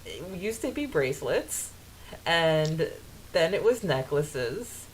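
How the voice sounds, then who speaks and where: A woman with a slightly low voice talks as a podcast co-host in casual fan discussion. Her manner is giggly and animated. There is faint hiss behind her. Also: slow pace, poor audio. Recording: hissy background noise.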